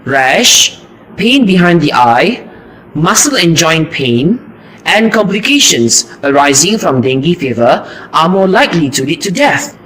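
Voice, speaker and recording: A man playing an earnest, heroic mosquito destroyer in an amateur puppet show, with a deliberate, recited delivery. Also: a little high, sing-song, sharp tone, full character voice, rough recording.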